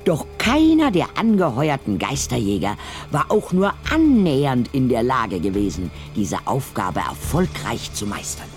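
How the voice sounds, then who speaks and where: A man's voice: medium pitch, very animated, a little slow. A man narrating a scripted German audio drama as a storyteller. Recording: music underneath.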